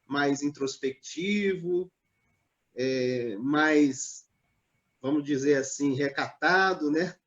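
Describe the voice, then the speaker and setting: A man from Northeast Brazil, a pastor, telling his life story in a live online interview in a measured, hesitant way, with many pauses and fillers. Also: a little high, highs cut off. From Natal, Northeast Brazil.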